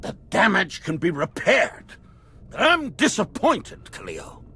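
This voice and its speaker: An actor playing a stern, disappointed male administrator in a video game cutscene, grave and reproachful, with a gravelly, husky voice. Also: high-pitched, wildly swooping pitch, slow pace, sharp tone, slight background noise, full character voice. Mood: stern disappointment.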